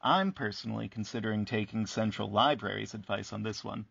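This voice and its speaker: Wry, earnest radio host: gravelly, storytelling delivery, slightly dull roomy sound. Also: male voice, lowish voice, a little fast, fairly bright tone, full character voice. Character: earnest, wry male host who interviews buildings. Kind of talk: radio play acting as interview host. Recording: echoey, muffled sound.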